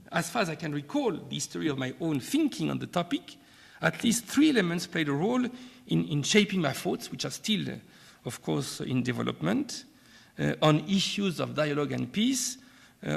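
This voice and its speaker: A man from France with a French accent, delivering an academic keynote lecture in a measured way, often pausing and repeating words. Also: on the high side, expressive, gravelly, slightly rough, in a large hall. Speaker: keynote speaker. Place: lecture hall.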